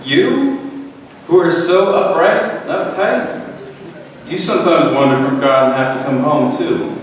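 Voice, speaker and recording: A man's voice: on the high side, measured pace, natural voice. A man playing a flippant, stubborn young prodigal son in a dramatic reading, casual and sardonic. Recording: very echoey hall from far off, faint noise.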